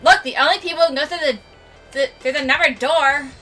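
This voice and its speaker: A woman's voice: high-pitched, fairly quick, pushed and bright, faint music behind, voice pushed up. A woman voicing a brash, impatient teenage boy in a story, blunt and cheeky. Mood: defensive.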